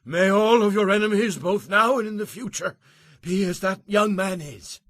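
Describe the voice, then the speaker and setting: A man's voice: high voice, moderate pace, fairly bright tone, no echo, studio quality, voice pushed up. A man voicing a formal foreign messenger who is grave and ceremonious.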